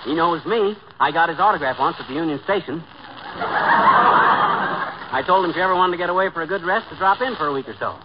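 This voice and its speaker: A man playing an excitable, boastful husband with slangy, folksy talk and pompous airs in an old-time radio sitcom. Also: a little high, fast, pauses often, close to an everyday voice. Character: excitable, corny, boastful husband with big ideas. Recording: muffled, noisy old radio recording.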